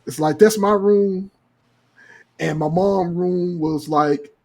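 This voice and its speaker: Man, high-pitched, raspy edge, podcast storyteller, profane slang, performs both sides of conversations. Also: slow. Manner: animated, acts out dialogue, slangy and profane. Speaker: podcast co-host.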